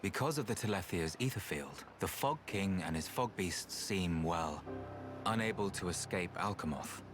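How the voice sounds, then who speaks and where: An actor playing a calm, reasonable and slightly weary researcher in a video game, speaking in an unhurried, thoughtful way. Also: male voice, sits low. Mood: explanatory. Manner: unhurried, thoughtful, plain-spoken. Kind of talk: video game cutscene acting. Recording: game music behind the voice.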